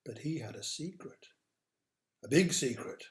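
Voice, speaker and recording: A man reading a picture book aloud to children, with dramatic drawn-out emphasis and hushed asides. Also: medium pitch, lively intonation. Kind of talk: animated children's storytime narration. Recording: roomy, echoey sound.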